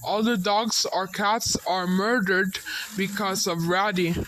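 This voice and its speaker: A man's voice: sits high, steady tone, slow, fairly bright tone, slight background noise, poor audio. A man giving an improvised mock news report with stumbling phrasing.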